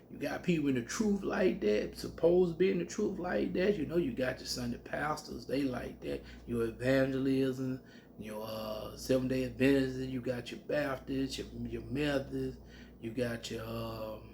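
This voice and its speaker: A man teaching scripture in a loose, colloquial country way, breaking into loud whoops. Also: medium pitch, creaky, slight background noise. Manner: animated, bursts into loud whoops; colloquial Southern speech. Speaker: preacher.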